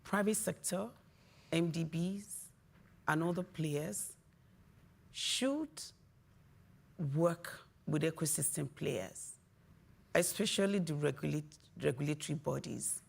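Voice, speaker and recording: A woman from Nigeria whose first language is Yoruba, speaking as a panelist and answering slowly, with pauses between phrases. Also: deep voice, creaky, breathy edge. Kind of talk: panel discussion.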